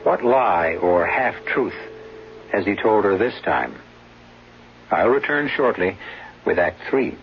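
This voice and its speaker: Slow, deliberate male radio mystery host. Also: lowish voice, expressive, creaky, noisy background. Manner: measured, dramatic, questioning. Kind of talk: suspenseful scripted narration. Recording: muffled 1970s radio broadcast. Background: music.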